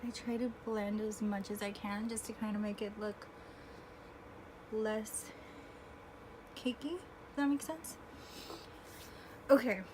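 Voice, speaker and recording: A woman in her twenties chatting casually through a makeup tutorial, self-deprecating and often clearing her throat, recorded on her phone at home. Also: on the high side, noisy background. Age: 20s. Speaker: beauty vlogger. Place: home room with air conditioner. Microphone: phone camera.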